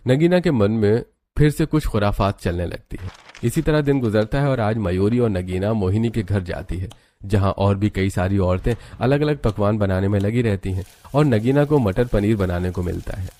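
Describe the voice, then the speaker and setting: Low, dark-voiced male story narrator. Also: normal speed.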